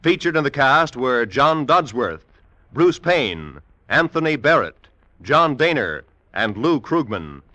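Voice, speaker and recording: A man's voice: mid-range voice, very animated, slow pace, bright, forceful tone, no room sound. A man announcing scripted credits for a mid-century radio drama in a formal broadcast style.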